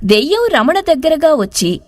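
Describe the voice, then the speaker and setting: Casting: female story narrator, high voice, very lively intonation, scripted delivery, close studio sound. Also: normal speed, background noise. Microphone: close studio voice-over.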